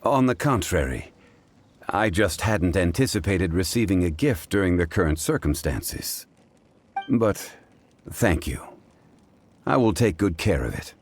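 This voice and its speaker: A man playing a calm, learned gentleman in a video game, speaking in a measured, formal, pensive manner. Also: deep voice, slight background noise, heavily acted. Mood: gracious. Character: calm, learned, dignified gentleman consultant of ancient wisdom. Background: game music.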